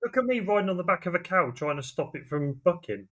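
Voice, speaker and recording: A man's voice: high-pitched, very animated, quick pace, fairly bright tone. A British man hosting a podcast, putting questions in a casual, chatty style. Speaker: podcast host, interviewer. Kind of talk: casual chat.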